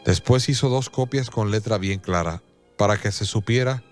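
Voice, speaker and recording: Male narrator, lowish pitch, solemn, measured, scripted radio drama storytelling, faint music behind.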